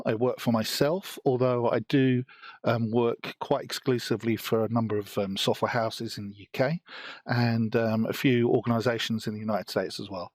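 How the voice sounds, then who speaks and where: A man in his late fifties with a British accent tells a personal story straight to camera. His humor is dry and wry, and his pitch sits in an ordinary middle register. The recording is close and dead, with no room sound. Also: no echo. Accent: British English. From United Kingdom. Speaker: software developer.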